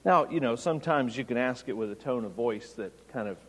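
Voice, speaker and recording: A man's voice: mid-range voice, lively intonation, fairly quick, a bit bright. Man preaching in a reverberant hall. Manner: expository preaching, raises voice for quoted lines. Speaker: pastor. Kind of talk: sermon.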